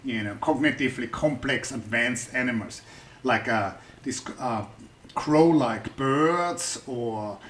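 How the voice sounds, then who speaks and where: A man's voice: medium pitch, slow, fairly bright tone, slight background noise. Male science YouTuber with a German accent, mid-range voice, loose chatty delivery that often circles back mid-sentence. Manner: chatty, thinking aloud with restarts. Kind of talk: explaining research for the general public.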